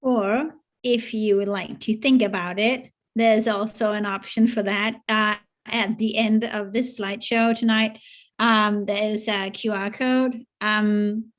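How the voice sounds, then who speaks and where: A woman, a school staff member, explaining things in a measured way in an online meeting, pausing often. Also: medium pitch. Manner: measured, with many pauses. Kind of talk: casual online meeting talk. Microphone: video call.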